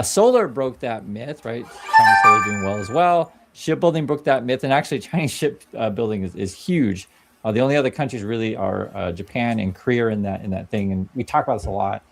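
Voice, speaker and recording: A man's voice: medium pitch, gravelly. A man from Vietnam giving casual explainer commentary, talking conversationally and often tagging "right?" onto his points. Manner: conversational, keeps asking "right?". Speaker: commentator on a played video clip.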